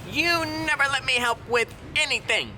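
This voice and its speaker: A man's voice: extremely high pitch, normal speed, sharp tone, a little hiss or hum, pitched above the performer's own voice, heavily acted. A man voicing a hurt, indignant duck wailing in complaint for a storybook. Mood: hurt, huffy.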